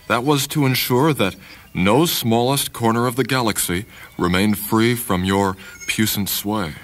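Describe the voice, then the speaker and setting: A man's voice: lowish voice, fairly bright tone, voice pushed up, close to an everyday voice. Man as a precise, deferential imperial scientist. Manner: formal, precise reporting. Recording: music behind.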